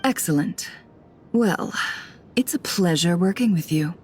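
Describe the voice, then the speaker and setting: A composed, shrewd woman investigator from a video game, with a fairly low voice. She speaks in measured, polite lines with quiet self-assurance, over background music. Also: animated. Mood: composed, confident. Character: composed, shrewd female investigator, posing as a lost trader. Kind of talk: scripted video game voice acting.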